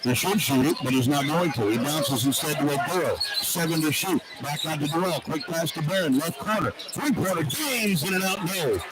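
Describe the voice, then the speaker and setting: A man's voice: fairly high pitch, expressive, normal speed, hardly any pauses. A man doing live basketball play-by-play on the radio in clipped, running description. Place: basketball arena broadcast position. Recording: very noisy arena radio broadcast. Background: loud arena ambience.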